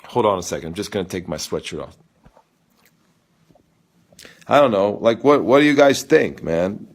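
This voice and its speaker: A tennis coach hosting a live viewer Q&A, a man with a big booming voice who rambles and vents his frustration, often restarting his sentences. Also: expressive, quick pace, a little hiss or hum. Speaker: tennis coach and show host. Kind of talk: live-stream viewer Q&A with a rant.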